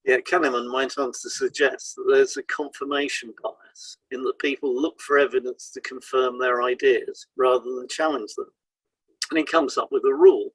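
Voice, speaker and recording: A man co-hosting an educational podcast, reading scripted explanations in a measured, explanatory way. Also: mid-range voice, breathy edge, low-quality recording. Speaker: podcast co-host and hypnotherapist.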